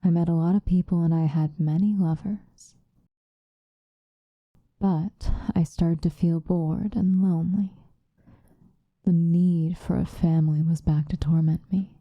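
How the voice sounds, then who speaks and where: A woman playing a possessive, obsessive female vampire lover in an ASMR roleplay, sinister yet tender, intimate and breathy, often slipping into whispers. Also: on the low side, steady tone, no echo, highs cut off. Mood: reflective, proud. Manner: intimate, breathy, drawn-out seductive delivery, often dropping into whispers.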